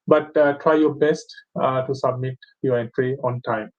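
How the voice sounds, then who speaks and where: A man hosting an online session, walking viewers through steps with lots of fillers and pauses. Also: medium pitch, steady tone, muffled recording. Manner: hesitant, many fillers. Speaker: host. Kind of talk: webinar moderation.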